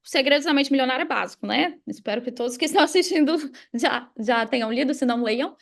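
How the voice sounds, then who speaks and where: Brazilian female coach, high and creaky voice, casual explanatory interview delivery. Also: fast talker. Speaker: podcast guest, money mindset coach.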